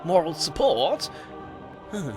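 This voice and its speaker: Animated, uneasy dragon sidekick played by a man. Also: a little high, slow, music in the background. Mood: hesitant, sardonic. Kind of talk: animated fantasy drama acting.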